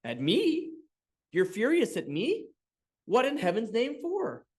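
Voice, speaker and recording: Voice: medium pitch, wildly swooping pitch, a little slow, voice pushed up. A storyteller voicing a lonely old woman who answers a talking bucket with polite surprise. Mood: puzzled. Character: old woman living alone, puzzled but calm.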